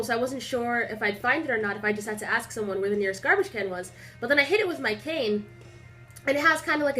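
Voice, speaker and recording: A young woman with a stuffy nose chatting casually into a webcam in a small room, rambling with lots of fillers. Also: on the high side. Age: young adult. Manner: rambling, filler-heavy, animated storytelling. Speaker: vlogger.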